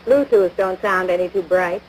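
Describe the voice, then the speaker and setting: A woman's voice: lowish voice, moderate pace, lightly acted. An actress playing a crabby small-town American housewife and mother in a domestic comedy, nagging in folksy, scornful talk. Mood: exasperated. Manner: folksy ungrammatical speech, scornful, exasperated. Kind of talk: domestic radio-style situation comedy. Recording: noisy vintage broadcast recording.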